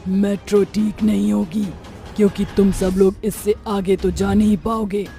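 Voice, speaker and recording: A woman's voice: mid-range voice, little pitch movement, unhurried, vocal fry, dark tone, faint music behind. A woman voicing a vengeful female witch who haunts a metro, gloating and taunting her victims in a cartoon horror story. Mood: menacing.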